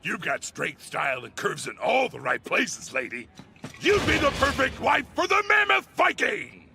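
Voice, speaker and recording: Boastful hulking male fighter, strained high gravelly bellow, slow swooping taunts, loud music behind. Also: huge pitch swings, background noise, exaggerated voice. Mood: leering. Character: brash male hulking brute fighter, boastful, dim, lecherous.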